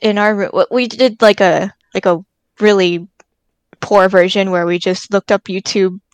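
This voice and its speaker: A woman's voice: mid-range voice, steady tone, moderate pace, clean tone, no room sound. A woman in her early twenties in casual chat, giggly and telling stories with quoted asides.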